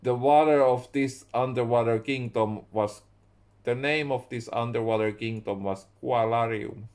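A young man with a Finnish accent, a native Finnish speaker, slowly reading his own story aloud at home and stopping to correct his words. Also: mid-range voice, darkish tone. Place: small room indoors at home.

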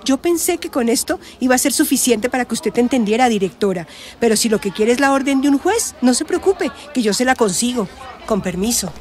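A woman's voice: fairly high pitch, animated, brisk, darkish tone, close to an everyday voice. A woman playing a firm, determined women's rights helper in a radio drama. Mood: firm, assertive.

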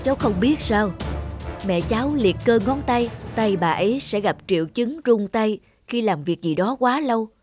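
A woman's voice: fairly high pitch, lively intonation, moderate pace, over music, close to an everyday voice. A woman voicing a serious, concerned doctor in a cartoon drama, speaking earnestly. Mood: grave, concerned. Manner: earnest, explanatory.

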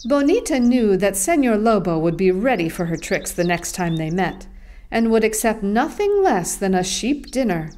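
A woman's voice: medium pitch, big pitch swings, normal speed, a little mellow. A woman narrating a scripted children's story as the narrator of a puppet show.

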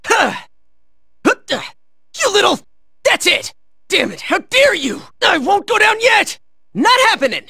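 An American man playing a cocky, excitable teenage boy in a video game, barking out brash, high-strung battle lines. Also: slow, creaky, long pauses, dry recording, studio quality, exaggerated voice. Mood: angry, defiant.

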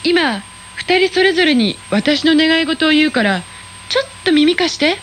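A sassy teenage schoolgirl with a high, chatty voice and a quick, cheeky way of talking, as a woman acts her out. Faint background noise sits under the lines of this radio play. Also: animated, fairly bright tone. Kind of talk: radio drama acting.

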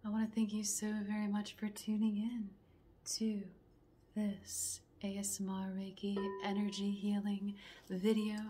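A woman in her thirties speaking as an ASMR reiki healer, in a soothing, breathy soft voice that often drops toward a whisper, repeating gentle affirmations. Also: medium pitch. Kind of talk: slow ASMR guided relaxation, partly whispered and chanted. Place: small home room, indoors.

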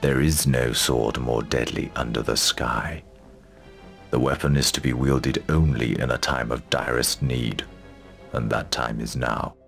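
An actor playing a solemn, respectful older lord in a fantasy game, speaking in a formal, stately way with a gravelly voice. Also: male voice, a bass voice, steady tone, unhurried, fairly bright tone, soft background music, full character voice. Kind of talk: fantasy video game cutscene acting.

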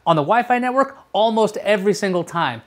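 A man's voice: sits high, expressive, fairly quick. A man in his thirties hosting a casual tech review to camera, chatty and explanatory, often asking rhetorical questions. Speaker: tech show host. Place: small furnished room at home, indoors.

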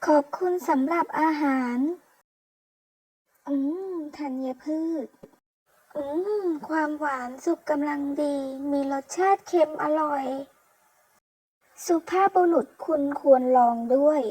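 Woman voicing a high-pitched, spirited young captive girl. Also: takes it slowly, a little mellow, rough recording. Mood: contented. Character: spirited young woman captive, food-loving, cheeky, bargaining. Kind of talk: comic-story dubbing read aloud.

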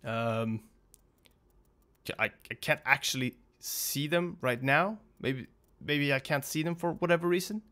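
A man's voice: fairly high pitch, a little slow, sharp tone. A young man hosting a gaming video, talking off the cuff in a casual, hesitant, rambling and apologetic way.

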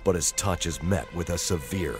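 A man narrates an audio drama in a low voice, reading the script dramatically. Music plays clearly behind him. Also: normal speed. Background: music and sound effects.

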